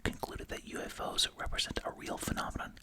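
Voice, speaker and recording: An ASMR creator whispering breathily while chewing gum, reading out summaries and adding casual, musing asides. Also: a little fast. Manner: casual, musing, repeats short phrases.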